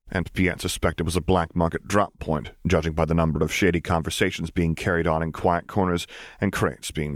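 A man's voice: deep voice, dry recording, studio quality. A man reading a story aloud as scripted audiobook narration.

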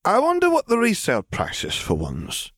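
Audiobook role: brash young female witch crime boss, played by a man, pitched well up, glib, wry and taunting. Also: wildly swooping pitch, normal speed, fairly bright tone, slightly rough, dry recording, clean studio sound, heavily acted. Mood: musing, businesslike. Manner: glib, mock-polite, theatrical taunting. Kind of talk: acted dialogue in fan fiction audiobook.

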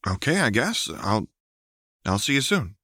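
A man with a very low voice voicing a gentle, earnest young woman in an audiobook. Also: big pitch swings, a little slow, no room sound, studio quality, full character voice. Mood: hesitant.

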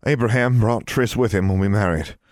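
A man's voice: lowish voice, brisk, a little mellow, dry recording, studio quality, voice pushed up, natural voice. A man voicing a gracious older noblewoman who speaks kindly and formally. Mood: gentle. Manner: kind, formal.

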